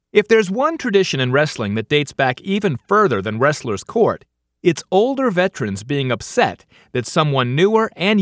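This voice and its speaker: A middle-aged man narrating his own memoir as an audiobook, with wry delivery and deliberate pauses before punchlines. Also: fairly high pitch, fairly bright tone, no room sound. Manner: wry, dry comic timing with punchline pauses. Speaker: author reading own audiobook. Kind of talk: scripted audiobook narration, first-person memoir.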